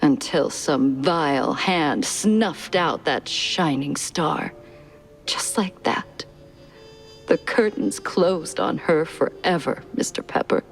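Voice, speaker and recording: An actress playing a sultry, theatrical former film star and femme fatale in a noir video game. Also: female voice, deep voice, slow pace, soft background music, low-quality recording. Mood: mournful, dramatic.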